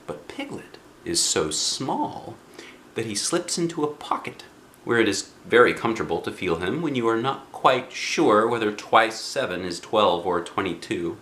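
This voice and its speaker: A man reading a children's book aloud in an expressive way, speaking directly to young listeners. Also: lowish voice, normal speed, roomy sound. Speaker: storybook reader.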